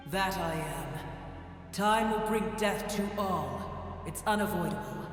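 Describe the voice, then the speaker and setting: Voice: medium pitch, slow, close to an everyday voice. An actor playing an ancient, dying dragon in a video game, proud, bitter and scornful, speaking in grand, contemptuous tones. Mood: grim resignation. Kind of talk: dramatic video game voice acting. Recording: cavernous echo and reverb, music behind.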